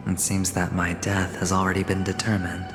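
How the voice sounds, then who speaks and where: An actor playing a weary, smooth-talking young gambler in a video game, with a wry, theatrical delivery. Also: male voice, low-pitched, completely flat delivery, normal speed, natural voice. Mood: wry, resigned. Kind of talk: dramatic video game voice acting. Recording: long cavernous reverb, background music.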